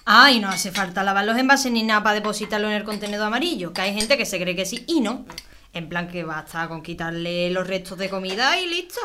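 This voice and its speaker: Young adult daughter, Andalusian accent, sharp bright tone, breezy slang, faint noise, audio sitcom. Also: female voice, mid-range voice, expressive, a little fast. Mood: matter-of-fact. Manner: casual youth slang.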